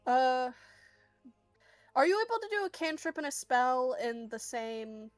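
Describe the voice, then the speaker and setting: A woman with a high voice takes part in a tabletop roleplaying game. She talks casually and slowly, with faint music in the background. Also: very animated, no echo. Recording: music behind the voice.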